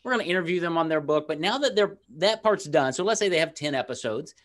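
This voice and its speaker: A man's voice: fairly high pitch, animated, a bit bright. A middle-aged man hosting a video-call interview, talking with enthusiasm and telling personal stories. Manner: enthusiastic, quick affirmations, storytelling. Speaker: host.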